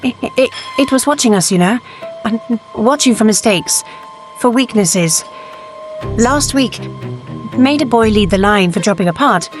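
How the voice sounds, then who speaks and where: A woman playing a wary, earnest Victorian factory hand in a gothic audio drama, speaking in a halting, urgent way. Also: fairly high pitch, animated, soft background music. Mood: nervous, urgent. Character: wary, sharp-eyed working-class female factory hand, earnest.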